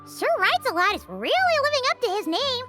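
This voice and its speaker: A woman's voice: very high-pitched, big pitch swings, quick pace. A woman voicing a tiny, bubbly girl companion who talks about herself by name. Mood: excited. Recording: game audio with soft music.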